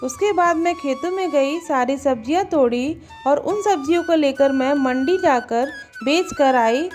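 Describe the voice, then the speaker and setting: A woman's voice: high-pitched, measured pace, darkish tone, soft background music. A woman voicing a farmer's scheming young wife in a moral story cartoon, with an exclamatory, dramatic delivery. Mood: dutiful.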